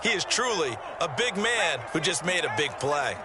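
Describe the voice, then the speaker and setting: A man's voice: fairly high pitch, very animated, normal speed, very noisy. A man playing an emphatic color analyst in a football video game, explaining plays conversationally. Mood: analytical. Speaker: color commentator. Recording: loud game sound behind the voice.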